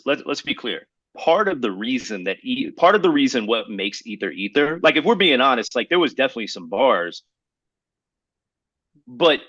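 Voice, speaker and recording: A man co-hosting a podcast in casual hip-hop debate, slangy, building his points haltingly and repeating phrases as he goes. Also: mid-range voice, a bit bright, no room sound. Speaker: podcast co-host.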